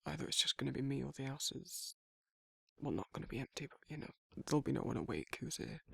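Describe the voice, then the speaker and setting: Man playing a gravelly, soft-hearted werewolf. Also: a little high, dry recording, full character voice. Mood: gentle concern. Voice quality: gravelly, husky. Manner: halting, awkward, softly reassuring. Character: shy, protective, tender young male werewolf in love. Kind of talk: romantic ASMR audio roleplay, intimate and conversational.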